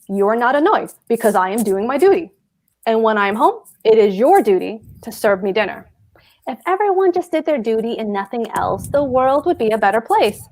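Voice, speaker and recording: A pompous government clerk played by a woman lays down the rules of duty in a higher-pitched voice. The delivery is emphatic and declamatory, as in a stage play read aloud. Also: darkish tone. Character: pompous, dutiful male government clerk and husband.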